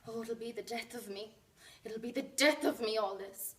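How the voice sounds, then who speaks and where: A woman's voice: on the high side, brisk, a bit bright, lightly acted. A woman playing a cool, discontented young wife in a stage drama, speaking in a curt, controlled, disdainful way. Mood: exasperated, scornful. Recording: noisy, echoey room, distant microphone.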